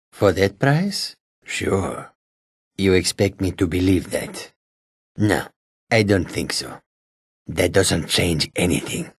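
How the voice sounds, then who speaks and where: An actor playing a shrewd, proud cat-folk warrior and trader in a fantasy video game, with a husky, rasping, theatrical delivery. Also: male voice, deep voice, dry recording, studio quality, full character voice. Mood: sly, bargaining, then threatening.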